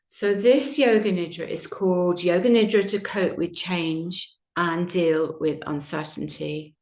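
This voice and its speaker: A woman teaching yoga nidra, guiding a relaxation meditation in a gentle, soothing, unhurried manner with long pauses. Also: fairly low pitch, steady tone. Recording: roomy, echoing sound.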